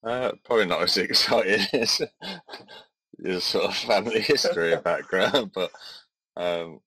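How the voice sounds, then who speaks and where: Very deep, bright-toned Englishman. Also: moderate pace, breathy edge, dry recording. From Midlands of England. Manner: hesitant, many fillers and restarts. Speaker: podcast co-host. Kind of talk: casual chat.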